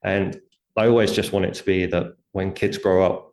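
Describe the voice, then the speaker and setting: A man with a low-pitched voice talks as an interview guest, laying out his reasoning step by step in conversational speech. He slips into imagined quotes as he explains. The room echoes noticeably around his voice. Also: level tone, normal speed, a little mellow. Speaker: interview guest, writer and speaker on money. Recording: roomy, echoing sound.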